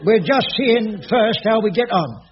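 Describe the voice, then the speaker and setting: A flustered caretaker. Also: male voice, sits high, expressive, a little slow. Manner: flustered, stammering, pompous phrasing. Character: fussy, put-upon caretaker, flustered schemer. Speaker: Ramsay, caretaker. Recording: dull old radio broadcast with faint hiss.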